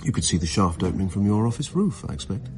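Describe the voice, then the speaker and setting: Deep-voiced, enigmatic, suave suitor. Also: male voice, big pitch swings, fast, darkish tone. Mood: suave, teasing. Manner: suave, teasing, evasive. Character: smooth, enigmatic male suitor with a quiet menace. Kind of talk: radio drama acting.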